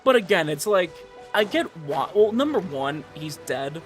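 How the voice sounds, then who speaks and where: A man doing casual livestream commentary over a game, chatting with viewers in an animated, exasperated, repetitive rant. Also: on the high side, a little slow, soft background music. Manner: animated ranting, exasperated, swearing, repetitive emphasis.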